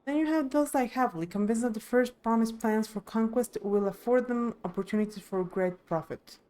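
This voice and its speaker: A woman voicing a thoughtful, steadfast young villager in a fantasy game, measured and explanatory. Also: on the high side, dark tone, natural voice. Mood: grave.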